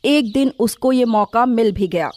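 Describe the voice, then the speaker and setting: A woman's voice: a little high, a little slow, a little mellow. A woman narrator reading a scripted moral story for children.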